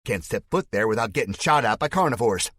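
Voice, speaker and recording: A man voicing an unstable male militia leader with a fake southern drawl, making loud, theatrical speeches to a crowd. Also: lowish voice, lively intonation, fairly quick, no echo, clean studio sound, pitched above the performer's own voice. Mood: sermonizing.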